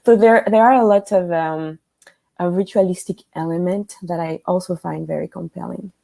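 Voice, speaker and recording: A woman from France with a French accent, a guest speaking thoughtfully on a video call, pausing often and using fillers. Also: fairly low pitch, fairly even intonation, gravelly, mellow. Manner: thoughtful, with frequent pauses and fillers. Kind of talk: conversational interview. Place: home room with a piano.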